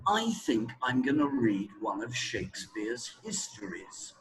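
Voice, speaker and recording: A middle-aged man giving opinionated, deliberate answers with long pauses in a conversational interview. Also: medium pitch, very animated, fairly bright tone, rough recording. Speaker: English teaching expert being interviewed.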